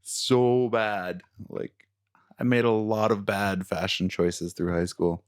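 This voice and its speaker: A man with a baritone voice co-hosting a casual podcast, easygoing and playful, laughing often as he talks into a podcast microphone. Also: steady tone, slow pace, smooth. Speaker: podcast co-host. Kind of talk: casual chat.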